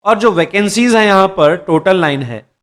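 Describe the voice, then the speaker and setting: High-voiced man presenting job news. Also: lively intonation, normal speed, fairly bright tone. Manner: addresses viewers as "दर्शकों", occasional restarts. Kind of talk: explainer reading job details.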